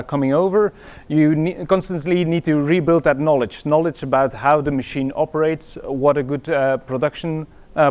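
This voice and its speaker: Belgian-accented man giving a tech talk. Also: fairly high pitch. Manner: hesitant, many fillers and restarts. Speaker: conference speaker. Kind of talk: conference presentation.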